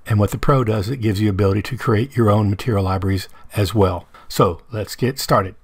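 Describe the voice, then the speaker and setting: A middle-aged man with a hoarse voice, explaining a software tutorial step by step over his screen recording. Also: lowish voice, brisk, no room sound. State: hoarse from medication.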